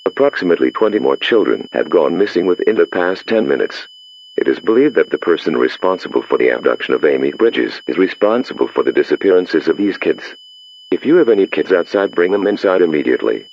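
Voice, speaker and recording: Casting: man as stern automated emergency announcer, very low and gravelly, dull radio sound with faint hum. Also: big pitch swings, fairly quick, full character voice. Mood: stern, formal. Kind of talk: formal scripted emergency alert.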